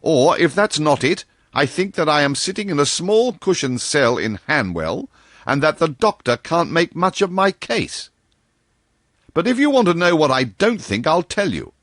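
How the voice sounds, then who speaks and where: A man voicing a jovial, boisterous young male doctor, loud and exclamatory. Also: a little high, sing-song, moderate pace, no room sound, clean studio sound, pitched above the performer's own voice. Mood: emphatic.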